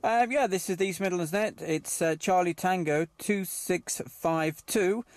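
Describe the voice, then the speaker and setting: A man running a CB radio net, speaking in a halting, hesitant way. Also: high voice, no echo. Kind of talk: amateur CB radio chat.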